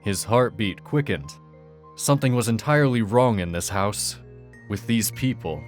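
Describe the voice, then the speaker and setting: Deep-voiced man narrating a dark tale. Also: moderate pace. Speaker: storyteller. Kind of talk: dramatic scripted fairy-tale narration. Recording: music behind the voice.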